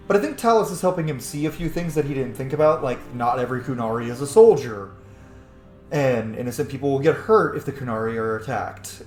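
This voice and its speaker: Very animated male gamer in an echoey room. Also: medium pitch. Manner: musing, emphatic, sweary. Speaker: gamer, host of a roleplay playthrough. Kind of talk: casual game commentary. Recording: echoey room, faint music behind. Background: faint game music.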